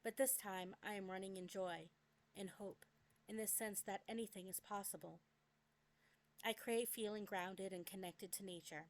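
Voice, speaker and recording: A young adult woman reads her own memoir aloud as a scripted dramatic narration, with a deliberate, expressive delivery. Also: mid-range voice. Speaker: memoir author reading own work.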